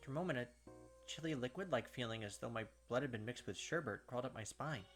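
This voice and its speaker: A man's voice: medium pitch, quick pace, fairly bright tone, faint music behind. A man reading a story aloud expressively as a gaming host, breaking into casual, sweary commentary. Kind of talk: dramatic read-aloud narration with casual commentary.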